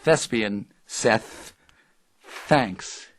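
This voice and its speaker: A man's voice: on the low side, extremely slow. Man playing an earnest, bookish radio interviewer. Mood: pedantic. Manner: pedantic, earnest. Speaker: actor playing a radio host. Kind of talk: audio drama dialogue.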